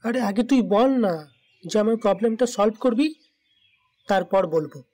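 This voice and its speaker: Man acting cheeky younger cousin, male, very high voice, teasing, audio story drama. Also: expressive, a little slow, darkish tone. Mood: pleading, sheepish.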